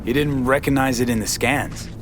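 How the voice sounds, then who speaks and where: A man's voice: mid-range voice, fairly even intonation, moderate pace, lightly acted. An actor playing a tough, cynical male street mercenary with an American accent and terse, wary delivery in a video game. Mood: skeptical. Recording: music behind the voice.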